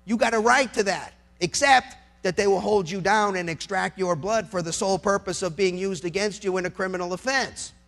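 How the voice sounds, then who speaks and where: A man's voice: high-pitched, fairly quick, vocal fry, hardly any pauses, a bit bright. An American man, a lawyer, giving an impassioned public speech, emphatic and sardonic, using rhetorical questions and repeating phrases for effect.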